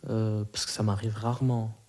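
A man's voice: fairly low pitch, flat delivery, normal speed. A young man whose first language is Arabic, talking in an interview, friendly and hesitant, with many fillers and restarts. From Belgium, raised from age five. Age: young adult. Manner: soft-spoken, friendly. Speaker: interview guest. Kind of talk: casual interview talk.

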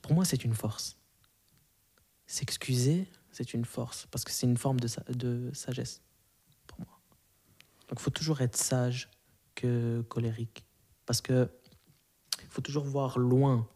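A man's voice: medium pitch, steady tone, takes it slowly, long pauses, warm tone, husky. A man in his early twenties from Belgium, a native Arabic speaker, answering interview questions casually and reflectively, often searching for words. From moved to Belgium at five, grew up there. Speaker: interview guest.

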